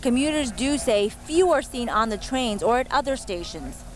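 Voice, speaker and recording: A woman's voice: a little high, expressive, normal speed. A woman reporting live for television news as a field reporter from a busy train station. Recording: noisy location, traffic and station ambience.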